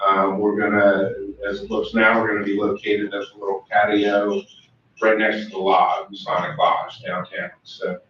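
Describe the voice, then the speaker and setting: A man giving a casual, halting report on upcoming events at a school board meeting. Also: on the low side, level tone, a bit bright, slight background noise. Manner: casual, halting, lots of fillers. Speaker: booster club speaker. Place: large meeting room. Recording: echoey, dull, distant room recording.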